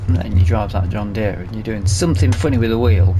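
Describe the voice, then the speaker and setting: A man's voice: fairly low pitch, quick pace, over music. A man giving casual let's play commentary on a video game, muttering to himself as he plays. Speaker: gaming video host.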